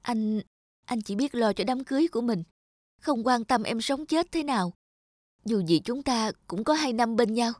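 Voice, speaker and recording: A woman's voice: on the high side, normal speed, darkish tone, no echo, clean studio sound, close to an everyday voice. A woman voicing a proud, manipulative young ex-girlfriend in a cartoon drama, dramatic and reproachful. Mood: desperate reproach.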